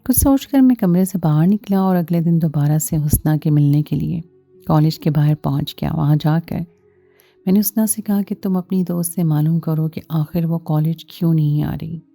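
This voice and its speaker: Very mellow, low-pitched woman narrating a story. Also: normal speed, gravelly, dry recording, soft background music. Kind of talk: scripted first-person story narration.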